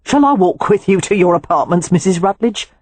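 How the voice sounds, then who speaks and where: Shrewd, sharp-tongued older housekeeper, read by a woman. Wry, pointed lines, warm tone. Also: fairly low pitch, animated, a little fast, husky, no room sound, voice pushed up.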